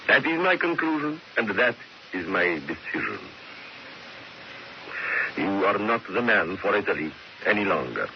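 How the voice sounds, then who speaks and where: A man's voice: on the low side, sing-song, unhurried, pauses often. An actor playing a stern, regal king in a radio drama, cold, firm and authoritative. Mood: cold, resolute. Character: stern, regal Italian king dismissing his dictator. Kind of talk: old-time radio drama acting. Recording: muffled, noisy vintage radio recording.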